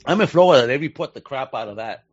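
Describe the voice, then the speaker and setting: A man's voice: mid-range voice, animated, quick pace, muffled recording. A man hosting a casual video call chat, enthusiastic, laughing often and filling his talk with "you know". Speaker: host.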